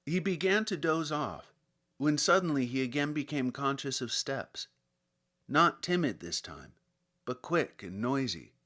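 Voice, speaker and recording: Male narrator for classic fiction; unhurried delivery, widely varied intonation, dramatic and measured. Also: mid-range voice, expressive, bright, forceful tone. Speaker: audiobook narrator. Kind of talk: literary scripted narration.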